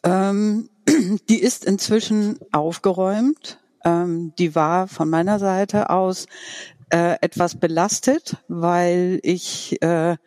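A woman in her fifties from Franconia, a podcast guest speaking over a video call in a thoughtful, halting way with many fillers. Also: fairly low pitch, fairly even intonation, dark tone, no echo, studio quality. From Franconia, Germany. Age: 50s. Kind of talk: personal interview conversation.

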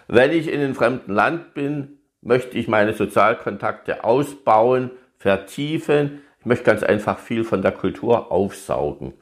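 A man's voice: medium pitch, creaky. A man working as a study-abroad advisor, hosting an advice podcast and explaining things in a chatty way, speaking directly to students.

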